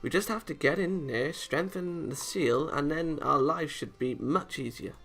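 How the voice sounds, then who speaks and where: A man voicing a teasing, determined young angel girl from a visual novel, brisk and matter-of-fact. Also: a little high, gravelly, fairly bright tone, full character voice. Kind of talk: visual novel character read-aloud. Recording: music behind the voice.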